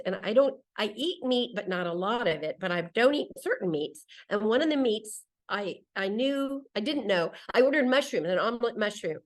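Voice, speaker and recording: A woman in her sixties, a native English speaker, tells stories casually as an interview guest, often interrupting herself and acting out quotes. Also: mid-range voice. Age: 60s. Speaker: interview guest, solo traveler. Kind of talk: casual conversational storytelling.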